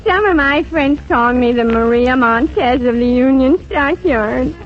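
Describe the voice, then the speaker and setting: An actress playing a literal-minded, self-deluded housemaid in a 1940s radio sitcom, deadpan and guileless. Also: female voice, sits high, unhurried, very noisy. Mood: resigned, dreamy. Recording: muffled old radio recording.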